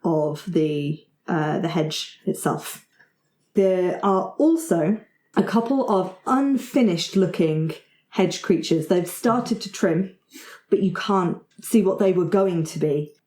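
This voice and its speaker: A woman narrating a tabletop roleplaying game as game master, improvising her descriptions in a halting way. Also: on the low side, dark tone. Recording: echoey room sound.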